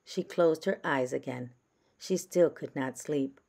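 Female picture-book reader: gentle read-aloud, low register, slightly gravelly creak. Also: a little slow. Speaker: storybook reader. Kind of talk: children's read-aloud narration.

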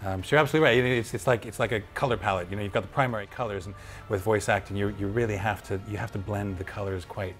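A man's voice: on the low side, fairly even intonation, racing, a bit bright, soft background music. A middle-aged male voice actor talking casually backstage in an interview, friendly and self-deprecating, often restarting his sentences. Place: backstage room with wooden walls at an awards event.

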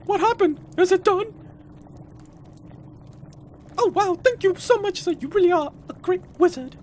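High-pitched anxious young heroine put on by a let's player. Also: a little slow. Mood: grateful. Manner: earnest, polite, nervous. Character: anxious, sad, gentle young woman, game heroine.

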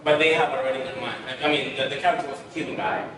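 A man's voice: medium pitch, very fast, a crowd in the background. A young man, an actor guest on a podcast, chatting casually about auditions in a studio, talking loosely with lots of fillers. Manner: relaxed storytelling with many fillers. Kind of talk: casual interview chat. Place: podcast studio with graffiti wall. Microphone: headset mic seen, far camera. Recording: echoey room, distant, noisy.

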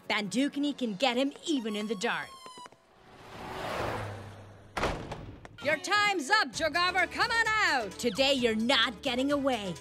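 Casting: an excitable, cocky cartoon lady cop, animated and commanding, with music underneath. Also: high voice. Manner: commanding, theatrical, exclamatory. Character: bossy, excitable policewoman, cocky and blustering. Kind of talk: children's cartoon dubbing.

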